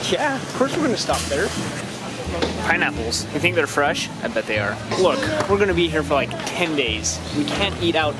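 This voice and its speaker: A man's voice: on the high side, very animated, normal speed, over loud music. A man in his thirties, a family vlogger, joking and chatting to a handheld camera while traveling, tired from the trip. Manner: playful, joking, talks to camera at arm's length. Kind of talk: casual travel vlog. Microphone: camera at arm's length.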